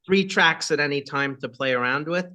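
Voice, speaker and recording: A rock singer with a high voice, chatting casually in an interview and rambling with many fillers and asides. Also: male voice, normal speed, bright, forceful tone.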